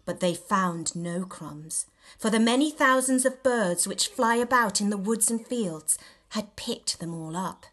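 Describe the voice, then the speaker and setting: A woman reading a novel extract aloud in an expressive, theatrical and measured storytelling style. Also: mid-range voice. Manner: theatrical, measured storytelling with dramatic emphasis and hushed dips. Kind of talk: expressive scripted audiobook narration.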